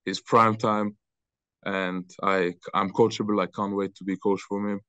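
A young man from Austria, answering interview questions in loose, halting talk on a video call. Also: fairly low pitch, flat delivery, sharp tone, clear, resonant tone, no echo. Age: young adult. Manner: loose, halting, repeats words. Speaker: interview guest, football player. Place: bright room indoors. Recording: dull lo-fi video call audio.

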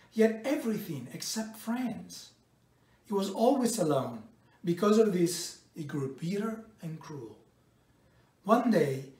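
A middle-aged man reads a picture book aloud in a measured, expressive storytelling way, pausing between phrases. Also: high-pitched. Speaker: storybook reader. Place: indoors in a bright home room by a window. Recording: roomy echo, distant microphone.

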